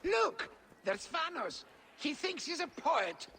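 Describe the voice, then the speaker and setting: Jeering heckler for a historical game: very high, piercing, sarcastic, in a reverberant space. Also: male voice, sing-song, slow pace, bright, forceful tone, a little hiss or hum, full character voice. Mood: mocking. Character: mocking male street heckler jeering at a poet. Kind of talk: video game crowd acting.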